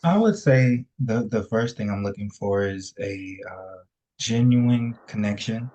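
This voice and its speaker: A man in his thirties, a dating show guest, speaking in a measured, reflective way. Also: on the low side, mellow. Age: 30s.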